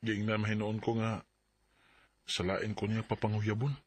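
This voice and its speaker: A man's voice: lowish voice, flat delivery, normal speed, husky, dry recording. A Filipino man with a Bisaya accent playing an earnest, insecure young suitor in a radio drama, speaking hesitantly and pleadingly. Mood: eager.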